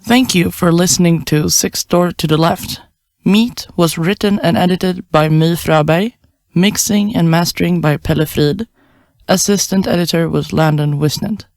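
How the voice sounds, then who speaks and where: A woman's voice: lowish voice, measured pace, darkish tone, no room sound. A woman reading scripted end credits as a podcast announcer.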